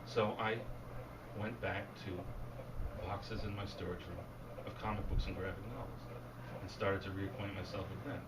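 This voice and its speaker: A man reading his fiction aloud at a bookstore event, deadpan and wry, and losing his voice. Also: sits low, normal speed. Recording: distant mic, noisy room. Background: audience laughter.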